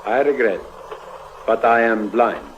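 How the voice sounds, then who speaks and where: An actor playing a meek, courteous blind man traveling by train in a 1950s radio drama, halting and deferential. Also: on the low side, natural voice. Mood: apologetic. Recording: muffled, noisy vintage radio recording.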